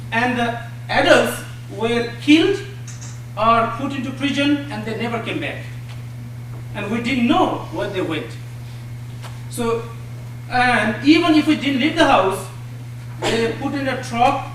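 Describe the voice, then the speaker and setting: A high-pitched man, a Nepali speaker in his thirties, giving a personal testimony in halting, pause-filled phrases. He is picked up from a distance in a large, very echoey hall with a faint hum behind him. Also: a bit bright. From Bhutan. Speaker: refugee panelist.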